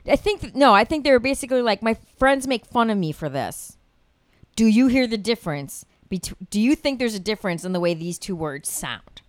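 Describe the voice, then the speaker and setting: A woman's voice: on the high side, a little fast, no echo. A woman co-hosting a casual podcast chat, telling stories with lots of laughter and frequent swearing. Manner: animated storytelling, swears freely, laughs often. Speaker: podcast co-host.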